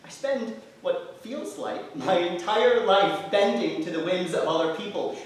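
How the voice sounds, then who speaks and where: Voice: mid-range voice, a little slow, close to an everyday voice. An actor playing a proud, weary young man, a jailed shoemaker's apprentice, earnest and stubborn in a staged play reading. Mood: bitter, wistful. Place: theatre stage, distant microphone. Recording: very echoey theatre, far-off mic, faint noise.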